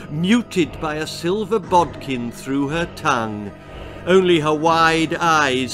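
A man in his sixties reading a children's horror story dramatically, savouring his words. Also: high-pitched. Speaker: author reading own story. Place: small book-lined room indoors.

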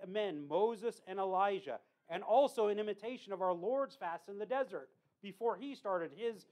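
A deacon preaches in a small icon-lined church, his voice sitting fairly high for a man. He tells the story earnestly, slipping in small personal asides and correcting himself as he goes. The sound is picked up by a camera at the back of the room. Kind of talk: homily retelling a saint's life.

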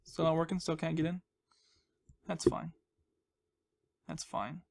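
Young guy doing rambly gaming commentary, high voice, really creaky, goofy and mock-dramatic, puts himself down. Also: level tone, a little slow, a little mellow, a little hiss or hum. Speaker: gaming video host. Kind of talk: casual, rambling Let's Play commentary.

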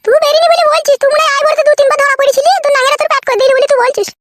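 Tiny-voiced, brash cartoon young wife. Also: female voice, steady tone, rapid-fire, heavy background noise. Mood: defiant. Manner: shrill, taunting, mocking retorts. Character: brash, combative young village second wife, defiant. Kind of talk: comic cartoon dialogue.

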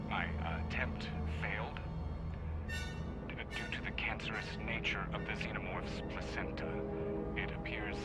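A man acting as a research doctor in a sci-fi game, speaking in a low voice. He dictates his log with clinical restraint, halting at times, over loud music. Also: lightly acted. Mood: grieving, restrained. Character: grieving male research doctor, formal and clinical. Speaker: scientist recording audio log. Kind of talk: scripted audio log narration.

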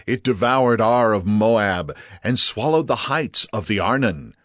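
A solemn bard of old in a biblical audio drama. He declaims verse gravely and very slowly, in a dry recording. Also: lowish voice, lively intonation, a bit bright, no room sound. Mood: grave, triumphant. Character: solemn male ballad singer reciting war taunt. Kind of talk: dramatized scripture recitation.

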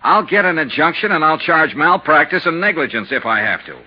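An actor playing a hard-boiled, persistent insurance investigator in 1950s radio detective style, clipped and blunt. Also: male voice, on the high side, big pitch swings, fairly quick, slightly rough. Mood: stern, pressing. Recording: noisy, dull 1950s radio recording.

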